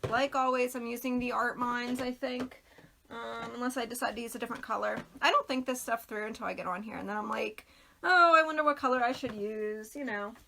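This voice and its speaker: A woman talks her viewers through a craft project in an easy, rambling way, greeting them by name as she works. Her voice sits high and bright, with a slight worn, throaty edge. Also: a little fast, gravelly, a little hiss or hum. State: losing her voice, clearing throat. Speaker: craft video host. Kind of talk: casual livestream craft chat.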